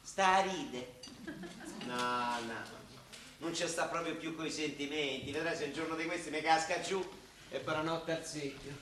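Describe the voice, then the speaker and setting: A man's voice: a little high, measured pace, lightly acted. An actor playing a grumbling, blustery middle-aged Roman bricklayer father in a dialect radio drama, speaking with a Roman accent. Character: exasperated Roman father, bricklayer, scolding and worrying over baby. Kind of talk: stage-style dialect radio drama acting. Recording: roomy, distant, noisy stage recording.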